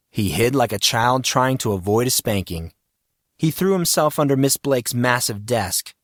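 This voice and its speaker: A man's voice: mid-range voice, dry recording. Man narrating a story, dry sound. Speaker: audiobook narrator. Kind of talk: scripted dramatic story narration.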